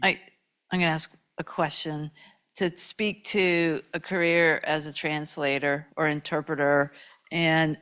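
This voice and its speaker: A woman moderating a lecture Q&A, asking questions with an even, measured delivery. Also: deep voice, clean tone. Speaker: event moderator.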